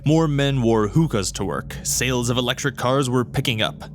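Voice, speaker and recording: A man playing a solemn, ominous narrator in an audio drama, speaking in a measured, portentous way with drawn-out words. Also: medium pitch, background noise, natural voice. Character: solemn, ominous male narrator of an alien invasion tale. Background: music.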